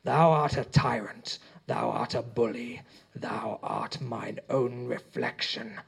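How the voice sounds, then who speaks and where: A man voicing an ancient sea god speaking through a corpse, slow and archaic, with gloating menace. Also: medium pitch, vocal fry, breathy edge, exaggerated voice.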